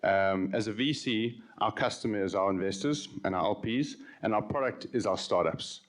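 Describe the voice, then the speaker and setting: A man speaking as a venture capital managing director on a conference panel, relaxed and conversational with frequent ums. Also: fairly low pitch, normal speed. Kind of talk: panel discussion.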